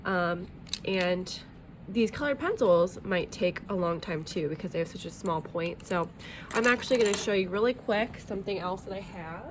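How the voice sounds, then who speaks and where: A woman's voice: fairly low pitch, animated, normal speed, background noise. A woman art teacher talking through a drawing tutorial in a friendly, chatty way, a bit sniffly from allergies, with plenty of ums.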